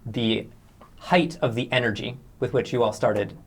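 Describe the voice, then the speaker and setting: Man, interview host, medium pitch, roundabout hesitant questioning, studio setting. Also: a little slow.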